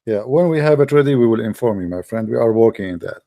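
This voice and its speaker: Mellow-voiced man lecturing in a debate. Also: mid-range voice, lively intonation, fast talker, vocal fry. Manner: argumentative, emphatic, lecturing. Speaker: livestream debate host. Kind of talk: lecturing religious debate talk.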